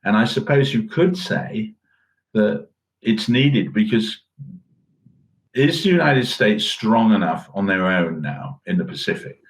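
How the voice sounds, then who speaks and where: A man's voice: fairly low pitch, lively intonation, unhurried, rough recording. A middle-aged man co-hosting a current affairs podcast in a musing, understated, conversational way. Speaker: podcast co-host. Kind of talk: casual current affairs discussion.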